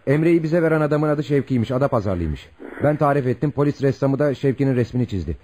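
An actor playing a weary, determined man in a radio drama, with a husky, matter-of-fact delivery. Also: medium pitch, animated, fast, dark tone, lightly acted. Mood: tired, hopeful. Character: weary, determined man reporting on his search.

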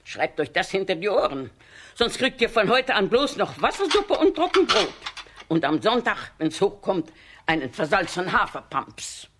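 Scolding, doting old village aunt, animated. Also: female voice, medium pitch, normal speed, a little hiss or hum. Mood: scolding, defiant. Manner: scolding, emphatic. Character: small hunchbacked village aunt, scolding but doting housekeeper. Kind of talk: children's radio play acting.

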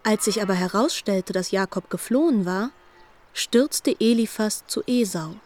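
Mid-pitched woman storyteller, literary narration. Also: measured pace, darkish tone, a little hiss or hum. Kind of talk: scripted literary narration for radio drama.

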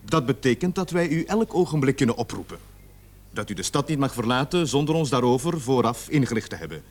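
A stern cop sergeant in a radio drama, formal and bossy, voice moves up and down a lot. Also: male voice, medium pitch, big pitch swings. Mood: stern, measured. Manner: authoritative, measured, formal interrogator. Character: stern police sergeant leading a murder investigation. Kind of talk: radio play acting.

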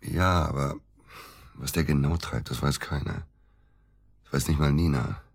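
A deep, husky middle-aged man, a widower in a radio play. He is bitter and suspicious, talking in agitated, halting bursts and hammering phrases home by repetition. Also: heavily acted. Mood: scornful, suspicious. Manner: agitated, halting, repeats himself for emphasis. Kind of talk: naturalistic German radio drama acting.

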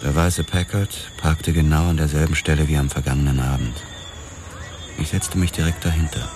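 An actor narrating as a world-weary police officer in a hard-boiled radio drama, terse and measured. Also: male voice, rumbling low, fairly even intonation, over music. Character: world-weary male cop narrating his case.

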